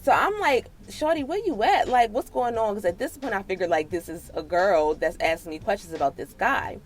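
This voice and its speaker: Animated American woman telling a story. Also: medium pitch, fairly quick, soft background music. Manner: animated, sassy, slangy. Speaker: storytime vlogger. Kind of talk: casual personal storytelling.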